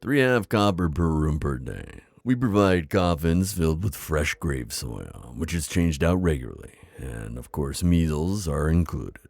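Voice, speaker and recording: A man playing a friendly, wise old vampire innkeeper in an ASMR roleplay, with a deep gravelly voice and a chatty, reassuring manner. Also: very animated, a little slow, few pauses, darkish tone, no echo, heavily acted. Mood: chatty, hospitable. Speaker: roleplay audio performer. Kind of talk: immersive ASMR roleplay acting.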